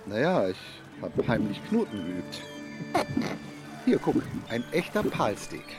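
Anxious, swooping pirate crewmate. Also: male voice, fairly low pitch, very animated, a little slow, over loud music. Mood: casual, wondering. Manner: hesitant, easily startled. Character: anxious, well-meaning young male pirate crewmate. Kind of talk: children's radio play acting.

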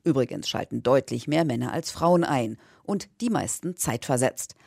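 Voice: mid-range voice, lively intonation, normal speed, creaky, darkish tone, dry recording, studio quality. A reporter reading scripted narration for a radio feature.

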